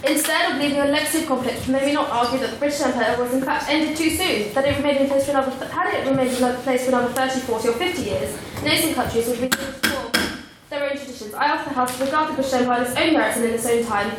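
A high-voiced woman driving her point through quick rhetorical questions in a formal debate. She is far from the microphone in a large, echoing hall, over steady noise. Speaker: debate speaker. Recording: echoey hall, distant mic, noisy.

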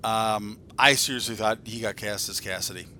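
A man's voice: on the low side, fairly even intonation, moderate pace, sharp tone. A man in his forties hosting a podcast with casual, swearing banter and a blunt, joking manner. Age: 40s. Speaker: podcast host.

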